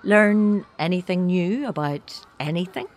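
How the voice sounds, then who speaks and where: A woman acting a bereaved, searching mother in an audio drama, naturalistic and halting, with anxious care. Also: fairly low pitch, close to an everyday voice. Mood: cheerful, coaxing.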